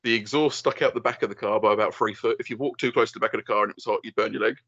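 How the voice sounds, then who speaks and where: A man's voice: on the low side, fairly even intonation, racing, a bit bright, dry recording. A young man co-hosting a podcast, telling rambling, sweary stories and laughing often. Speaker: podcast co-host. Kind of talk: casual banter. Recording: dull lo-fi dry sound.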